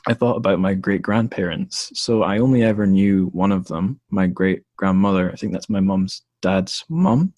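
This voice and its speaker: A man's voice: low voice, moderate pace, hardly any pauses, a little mellow, no room sound. A man hosting a podcast interview, talking in a thoughtful, self-deprecating way and laughing often. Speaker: podcast host. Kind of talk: casual interview conversation.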